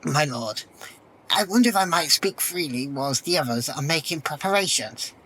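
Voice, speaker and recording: An actor playing a troubled, deferential follower in a fantasy audio drama, formal, grave and halting. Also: male voice, on the high side, animated, a bit bright.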